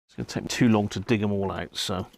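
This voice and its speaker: A man's voice: lowish voice, fast, gravelly, background noise. A British man chatting casually and rambling on a hobby livestream, often muttering to himself as he tinkers. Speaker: livestream host and radio hobbyist.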